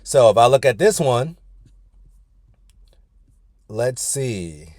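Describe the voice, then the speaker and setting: A man's voice: medium pitch, lively intonation, slow, a little mellow, no room sound, studio quality. A man coaching a weight-loss group on a video call from his car, talking casually and often calling the group "y'all". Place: inside his parked car.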